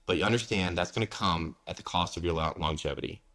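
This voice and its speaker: Deep-voiced, fast-talking blunt American man. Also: fairly bright tone. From United States. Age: 40s. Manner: blunt, candid, peppered with "man" and "you know". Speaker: guest, fitness coach. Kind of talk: casual podcast interview.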